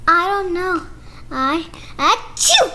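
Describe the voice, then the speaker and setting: Little girl doing a sneezy worried boy elephant from a storybook, super high, big dramatic swoops. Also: sing-song, slow, bright, forceful tone, a little hiss or hum, exaggerated voice. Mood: distraught, sneezing. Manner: hesitant, dramatic, exclaiming. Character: anxious, dramatic, sneezy male cartoon elephant friend.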